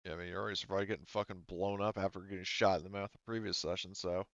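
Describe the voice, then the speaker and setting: Low, smooth-voiced man running a game. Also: steady tone, a bit bright, no room sound, muffled recording. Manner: halting, explanatory, informal. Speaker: game master. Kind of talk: casual tabletop game narration and chat.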